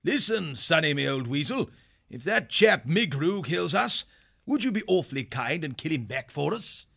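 A man's voice: a little high, sing-song, normal speed, creaky, fairly bright tone, heavily acted. A man voicing a big male hare soldier who is a bold, mocking captive. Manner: breezy mocking banter.

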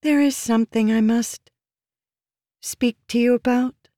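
A woman's voice: fairly high pitch, fairly even intonation, measured pace, warm tone, no room sound, clean studio sound, voice pushed up, natural voice. A woman playing a pleading, intense young-sounding noblewoman. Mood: timid, fearful. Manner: pleading, weak and feminine, intense. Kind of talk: audiobook character dialogue.

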